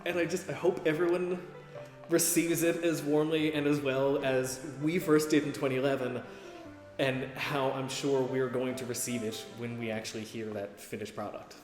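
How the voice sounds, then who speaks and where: A man radio drama performer talking excitedly and casually in a documentary interview, repeating himself. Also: medium pitch, moderate pace. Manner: excited, repetitive. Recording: roomy echo with faint music behind.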